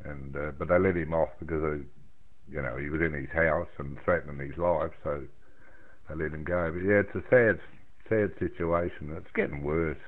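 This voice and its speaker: A man's voice: extremely low pitch, steady tone, normal speed. A man chatting casually over the radio in a blunt, folksy, colloquial way, rambling along. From outside the United States. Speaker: amateur radio operator chatting with friends. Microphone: radio microphone over internet link. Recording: narrow, tinny phone-like radio link.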